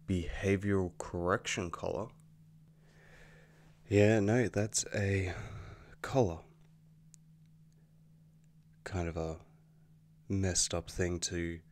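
A weary, wry werewolf boyfriend acted by a man in an ASMR roleplay. His voice sits very low and he takes his time, halting and casual as he goes. A noticeable hum runs under the recording. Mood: dismayed, weary. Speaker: roleplay voice actor. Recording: clearly audible background hum.

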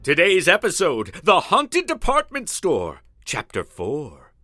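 An actor playing an excitable, melodramatic narrator of an old-time radio mystery serial, theatrical and suspenseful. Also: male voice, high voice, slow pace, exaggerated voice. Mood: dramatic suspense. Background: music.

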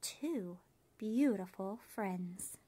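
Wise old storybook spider, acted by a woman: slow, reassuring, kind and sure of herself. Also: medium pitch, lively intonation, slightly rough, exaggerated voice. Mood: tender. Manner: gentle, reassuring, measured. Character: wise old female spider, kindly and self-assured. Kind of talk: storybook character reading.

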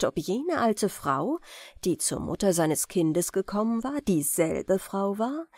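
A woman's voice: mid-range voice, dark tone, dry recording, studio quality. A woman reading a fairy tale as scripted audiobook narration for bedtime.

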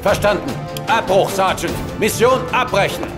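An actor playing a commanding air force general in an audio drama, giving clipped, gruff orders. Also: male voice, high voice, animated, moderate pace. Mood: urgent, commanding. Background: loud music.

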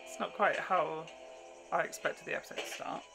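A man's voice: fairly high pitch, steady tone, normal speed, vocal fry, loud music behind the voice, poor audio. A young man hosting a reaction show in casual chat, recorded on a desk microphone at home. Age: young adult. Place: small furnished home room, indoors. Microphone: desk microphone in front of the pair.

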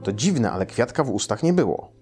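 A man's voice: mid-range voice, sing-song, fairly quick, darkish tone, faint music behind. A man, a storyteller reading a children's tale aloud in a room. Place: small furnished room, indoors.

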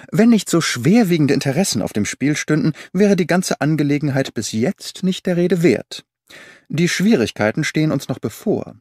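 A man voicing a brisk, commanding male detective with a clipped, authoritative delivery. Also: a little high, a little mellow, dry recording, studio quality, voice pushed up.

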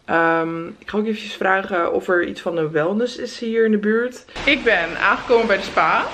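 A woman's voice: medium pitch, normal speed, a bit bright. A young woman in her twenties, chatting upbeat to a handheld camera at arm's length while on holiday. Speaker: travel vlogger. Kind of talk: casual travel vlog. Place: outdoors, hotel rooms and a spa on holiday.

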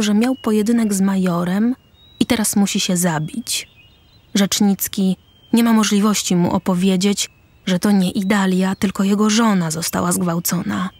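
A woman reading scripted stage directions as the narrator of a drama, with a husky voice. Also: mid-range voice, fairly even intonation, normal speed, darkish tone, no echo.

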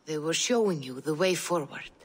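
An actress playing a calm, grave seer in a video game, speaking slowly and prophetically. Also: female voice, low-pitched, slightly rough. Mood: ominous. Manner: slow, solemn, prophetic.

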